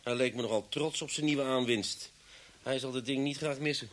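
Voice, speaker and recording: An actor in a Dutch radio drama playing a sardonic, sceptical police inspector with a dry, reasoning manner. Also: male voice, medium pitch, normal speed, fairly bright tone, lightly acted.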